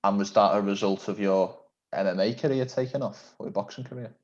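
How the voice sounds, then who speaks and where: A man's voice: fairly low pitch, a little fast, darkish tone, lo-fi sound. A man hosting a video-call interview, asking questions in a polite, formal and complimentary way. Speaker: interview host.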